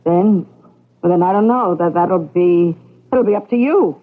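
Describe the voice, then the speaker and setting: An actress playing a determined, anxious, pushy working-class wife with an urgent manner in an old-time radio mystery. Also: female voice, fairly low pitch, unhurried. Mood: coaxing, nervous. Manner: urgent, insistent, stammering when flustered. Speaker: radio drama actor. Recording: muffled lo-fi old radio broadcast.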